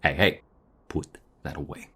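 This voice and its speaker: A man playing a protective, flirtatious ex-mobster husband in an intimate ASMR roleplay, tender but menacing, with breathy murmurs and a teasing manner. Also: fairly even intonation, measured pace, sharp tone, exaggerated voice. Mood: protective, threatening. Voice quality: deep, gravelly, often breathy murmur. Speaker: voice actor.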